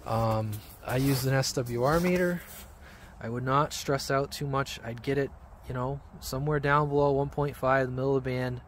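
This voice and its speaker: A man giving a casual, off-the-cuff how-to explanation outdoors in an informal, rambling, halting way. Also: mid-range voice, fairly even intonation. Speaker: hobby radio vlogger. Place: outdoors among trees. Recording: outdoor handheld video with background noise.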